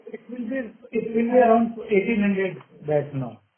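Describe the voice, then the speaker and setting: A man with an Indian accent answering analysts as a company executive, in a halting, filler-heavy way. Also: high-pitched, lively intonation, a little hiss or hum. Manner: halting, hesitant delivery with many fillers. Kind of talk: earnings call answers. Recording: narrow tinny phone conference line.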